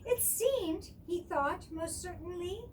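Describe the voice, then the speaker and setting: A woman storyteller reads rhymes aloud with very lively, swooping intonation. Her voice is very high and unhurried, and she is recorded at a distance from the microphone. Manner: expressive, theatrical, with chatty asides. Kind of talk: animated rhyming picture-book read-aloud. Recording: distant microphone with clear background noise.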